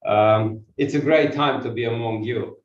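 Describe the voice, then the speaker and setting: A man's voice: mid-range voice, a little slow, muffled recording. Ukrainian accent guy preaching, walks around, keeps asking the church questions, kinda far off and echoey. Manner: walks while preaching, asks the congregation questions. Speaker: guest pastor. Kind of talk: sermon with audience questions. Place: church hall.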